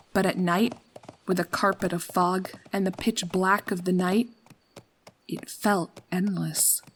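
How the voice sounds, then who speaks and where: A woman's voice: medium pitch, a little hiss or hum, low-quality recording. A woman reading a scripted story as narrator in a dramatic storytelling style. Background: background music.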